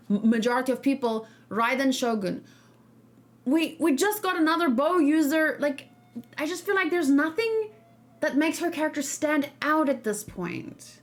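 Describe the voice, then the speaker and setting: Woman, game streamer, fairly high voice, casual opinionated chat straight to viewers. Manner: opinionated, thinking aloud, addressing viewers. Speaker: gaming streamer. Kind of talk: casual game reaction and discussion.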